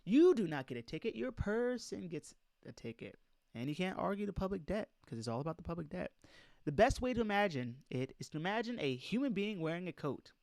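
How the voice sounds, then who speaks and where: A man's voice: high-pitched, sing-song, brisk, a little mellow, no echo. A man reading aloud in an informal lecture style, speaking emphatically and putting questions straight to his listeners. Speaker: presenter reading a document aloud and commenting.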